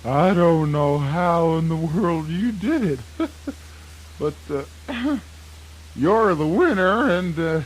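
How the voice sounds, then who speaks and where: A husky, high-pitched boastful fox. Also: male voice, lively intonation, rough recording. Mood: sheepish. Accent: Southern US. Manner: folksy, chuckling, drawn-out delivery. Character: boastful, gullible male fox, easily flustered. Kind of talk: children's radio theatre folk tale acting.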